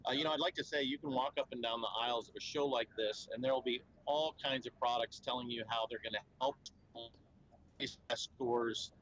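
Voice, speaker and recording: Man, trade show spokesman, earnest explainer, mid-pitched voice, fillers and restarts, faint background noise. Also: fairly even intonation, a little fast, a bit bright, rough recording. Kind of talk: conversational explainer interview.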